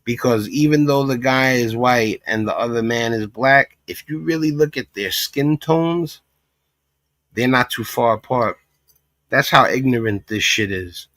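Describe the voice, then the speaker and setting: A man from Puerto Rico hosting a livestream, speaking at an average pitch and stressing his points. The recording is dry, with little room sound. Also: measured pace, fairly bright tone, no echo, rough recording. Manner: emphatic, talks in repeated asides. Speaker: livestream commentary host. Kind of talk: casual reaction commentary.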